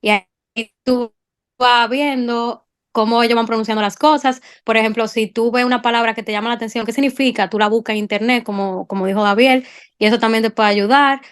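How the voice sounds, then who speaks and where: Young dominican woman, kinda high voice, chatty panel talk, lots of "entonces". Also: steady tone, fairly quick, clean tone. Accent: Dominican Spanish. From Dominican Republic. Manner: explanatory, step-by-step, many fillers. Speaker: incoming medical resident. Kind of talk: casual panel chat.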